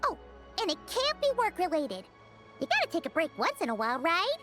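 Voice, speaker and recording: A woman voicing a tiny, excitable fairy companion in a video game, with a squeaky childlike voice and bubbly delivery, over music. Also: fairly quick, heavily acted. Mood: chirpy. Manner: bubbly, exclamatory, speaks of self in third person.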